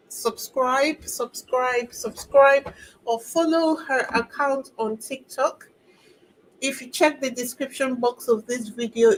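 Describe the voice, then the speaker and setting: Slow-talking chatty woman with faint noise. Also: a little high, rough recording. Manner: chatty, sarcastic asides with laughs. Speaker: royal news commentator. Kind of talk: casual chat to viewers.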